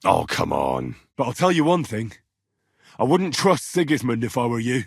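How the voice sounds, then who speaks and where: An earnest young man of common birth in a medieval video game. His voice sits at a medium pitch with a slightly rough, husky edge. He speaks in a plain, folksy, curious way, with lively swings in intonation. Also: moderate pace, no echo, clean studio sound, heavily acted. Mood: wry, confiding. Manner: conversational, expressive, questioning, folksy turns of phrase. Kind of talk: video game dialogue acting.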